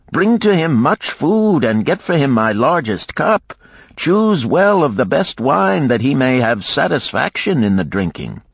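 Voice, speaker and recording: A man's voice: on the high side, creaky, a bit bright, husky, no echo, pitched above the performer's own voice, heavily acted. A man voicing a shrewd moneylender of ancient times in a storybook, with grand archaic phrasing and probing exclamations. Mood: grandly hospitable. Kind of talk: audiobook storytelling.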